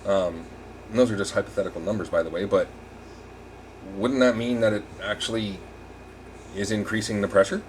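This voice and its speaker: Man, low-pitched voice, casual repair tutorial, rambling thinking-aloud delivery, steady noise behind. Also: normal speed, long pauses, poor audio. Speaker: RV repair technician presenting a tutorial. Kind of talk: casual hands-on repair demonstration.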